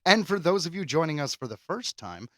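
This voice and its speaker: Podcast guy joking around, voice a bit high and swooping all over, dry close mic. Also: big pitch swings, brisk, sharp tone, no room sound. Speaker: podcast co-host. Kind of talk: casual comedic podcast chat.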